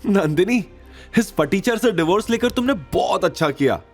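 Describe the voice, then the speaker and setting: A man's voice: high-pitched, expressive, moderate pace, husky, soft background music, pitched above the performer's own voice. Man acting a cocky, swooping rich young suitor. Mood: gloating, mocking. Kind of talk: audio drama character voice.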